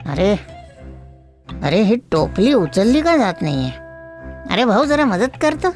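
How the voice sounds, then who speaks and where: A woman voicing a blustering, bossy male market trader in an animated moral story. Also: mid-range voice, a little slow, faint music behind. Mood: straining, annoyed. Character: bullying male market traders, loud and threatening.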